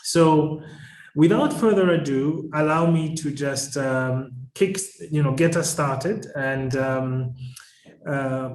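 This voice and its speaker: A man in his forties moderating a webinar over a video call, formally introducing speakers in a halting, courteous way. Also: a little high, a little mellow. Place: indoors. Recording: roomy echo on video call.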